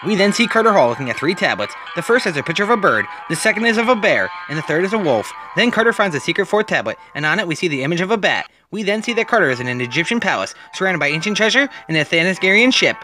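Male comic-book narrator: theatrical, brisk, animated pitch, dramatic scripted reading. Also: music in the background.

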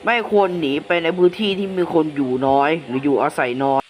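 A man's voice: on the high side, lightly acted. Man as a solemn emergency broadcast announcer, noisy recording. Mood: solemn, official. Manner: formal, measured official reading. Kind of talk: scripted analog horror announcement narration. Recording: clearly noisy hissing background.

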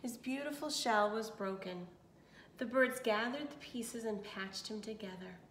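A woman with a slightly high voice reads a children's picture book aloud. She is expressive and breaks off for small asides and questions. The room around her is clearly echoey. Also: a little slow, slight background noise. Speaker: storyteller reading aloud to children.

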